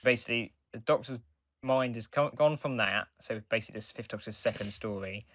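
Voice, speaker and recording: A man co-hosting a casual podcast discussion, explaining in a halting, rambling way with restarts. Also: mid-range voice, fairly bright tone, no room sound, clean studio sound. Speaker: podcast co-host.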